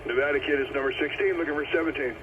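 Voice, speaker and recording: A man's voice: on the high side, racing. Tinny CB radio man running roll call. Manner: repetitive, procedural calling out of numbers. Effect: CB radio transmission. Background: radio static and noise.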